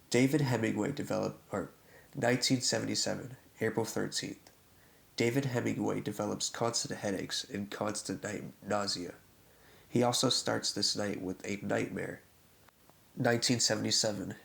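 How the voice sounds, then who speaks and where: A man with a fairly low voice reads a scripted horror chronicle. Heavy vocal fry runs through his delivery, giving it a rough, creaky grain. He moves deliberately from one short phrase to the next as a narrator laying out events. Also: a bit bright.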